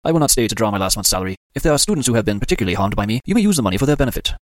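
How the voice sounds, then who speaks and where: A man's voice: on the low side, sing-song, rapid-fire, no echo, studio quality. A man voicing a stern, weary middle-aged male potions master who speaks formally and with resignation. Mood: grave. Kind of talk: audiobook character voice.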